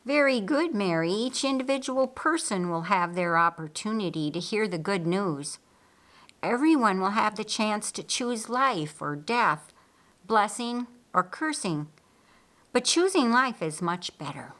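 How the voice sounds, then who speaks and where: A woman voicing a calm, authoritative male teacher in an audiobook, patient and probing, with a measured, instructive manner. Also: medium pitch. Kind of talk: audiobook character dialogue.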